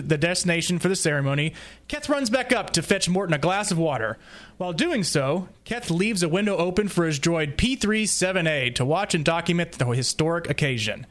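Higher-pitched man reading a prepared summary. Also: fairly bright tone. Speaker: podcast guest presenter.

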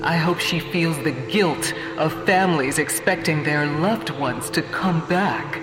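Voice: mid-range voice, unhurried. Echo-drenched, sinister machine intelligence, played by an actor. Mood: brooding, resentful. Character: sinister autonomous AI lake, abandoned and bitter, narrating. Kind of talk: scripted audio drama narration and villain role. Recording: echoey long reverb under loud music.

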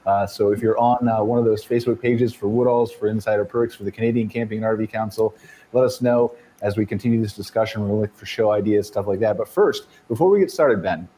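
A man's voice: on the low side, fast talker, mellow. A man hosting a casual online chat show, upbeat and joking with his co-hosts. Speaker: host.

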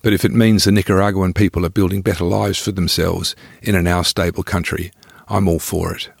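A man's voice: low voice, flat delivery, a little fast, vocal fry, breathy edge, dry recording. A man reading his own scripted first-person travel memoir in a wry, understated, colloquial way.

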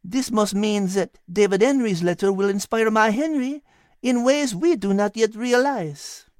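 A woman's voice: mid-range voice, unhurried, darkish tone, no echo, clean studio sound, natural voice. A woman playing a refined, tactful, gracious French animal in a children's audio drama, with a French accent and dainty, polite hesitations. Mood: earnest.

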